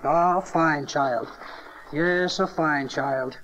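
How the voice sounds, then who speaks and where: An actor playing an older temple priest in a dramatized audio Bible, musing and cynical yet formal and ceremonial. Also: male voice, a little high, slow pace, a bit bright, low-quality recording.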